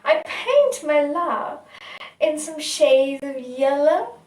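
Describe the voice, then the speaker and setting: A woman reciting her own poem in a dramatic, deliberate way, with pauses between phrases. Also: sits high, animated. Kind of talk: poetry recitation. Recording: echoey room, distant mic.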